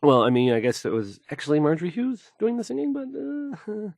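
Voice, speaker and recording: Casting: timid young game-world DJ, anxious and awkward, halting stammer, pitch jumping all over, dry sound. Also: male voice, huge pitch swings, gravelly, a little mellow, no room sound, heavily acted. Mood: awkward, unsure. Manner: stammering, hesitant, nervous, trailing off. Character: timid, awkward young male radio host, anxious and insecure.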